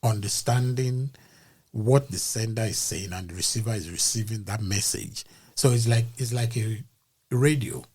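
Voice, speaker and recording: A man who is a podcast guest, explaining ideas in a deliberate way and using everyday comparisons. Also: fairly low pitch, a little mellow, husky.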